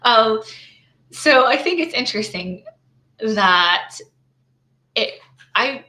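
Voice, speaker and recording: A woman's voice: fairly high pitch, expressive, takes it slowly, bright, forceful tone, low-quality recording. A woman running her own small business, walking through her slides in a casual screen-share, thinking aloud with lots of "right?" tags and restarts.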